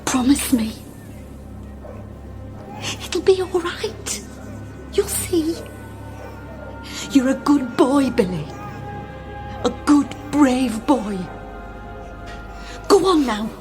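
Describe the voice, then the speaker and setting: A woman's voice: high voice, animated, extremely slow, long pauses, heavily acted. An actress playing a protective mother in a radio drama flashback, urging her young son in short, insistent phrases. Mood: tender urgency. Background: music.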